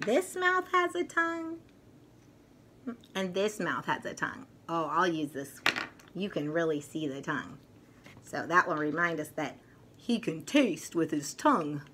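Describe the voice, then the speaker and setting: A middle-aged woman reads aloud for young children in a bright, lively voice that swings widely in pitch. Her delivery is expressive and deliberate, like a teacher at storytime. There is faint noise in the room behind her. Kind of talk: picture book read-aloud for young children. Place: furnished room at home, indoors.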